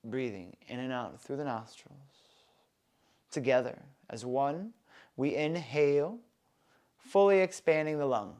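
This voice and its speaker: A man softly leading a guided breathing meditation, with calm, soothing instructions. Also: medium pitch. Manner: slow, soft, calm and soothing guidance.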